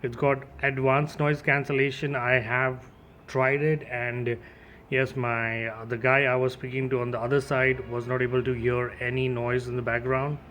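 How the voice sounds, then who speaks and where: A man's voice: medium pitch, flat delivery, moderate pace, a bit bright, dull audio, slight background noise. A man giving a casual, informal unboxing and demo as a gear reviewer, addressing his viewers directly.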